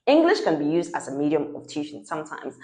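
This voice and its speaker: Low-voiced Ghanaian woman teaching French. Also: sing-song, quick pace, warm tone. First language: Fante. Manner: patient, repeats examples, checks in with "right?". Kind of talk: explanatory teaching talk. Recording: roomy echo.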